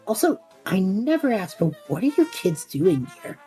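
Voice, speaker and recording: A woman's voice: mid-range voice, very animated, moderate pace, dark tone, soft background music, close to an everyday voice. A woman playing a wry, reluctant young magic user in a fantasy audio drama, casual and self-deprecating in manner. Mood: candid.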